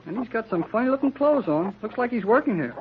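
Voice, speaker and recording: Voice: mid-range voice, expressive, quick pace, lightly acted. A performer playing an impatient, slangy country boy with an American accent in an old-time radio drama. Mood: eager. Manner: folksy, slangy, exclamatory. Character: brash, impatient, excitable farm-country boy. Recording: dull, noisy old radio recording.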